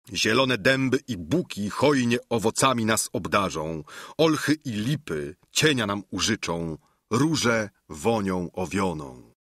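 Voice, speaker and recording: A man's voice: lowish voice, a bit bright, no room sound, clean studio sound, exaggerated voice. A man voicing a lofty, idealistic knight who speaks in grand, flowery phrases. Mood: dreamy. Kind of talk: audiobook character reading.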